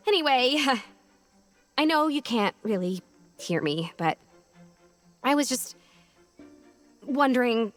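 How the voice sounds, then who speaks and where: Young heroine, earnest and questioning, wry streak, animated delivery, game cutscene over music. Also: female voice, high-pitched, full character voice. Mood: hesitant, searching. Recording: music underneath the voice.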